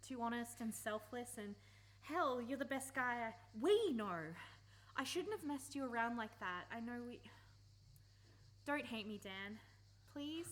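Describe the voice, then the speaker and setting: A woman's voice: a little high, expressive, unhurried. A woman acting in a radio play as a conflicted, defensive young woman who speaks hesitantly and with emotion. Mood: remorseful. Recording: faint background noise, live recording.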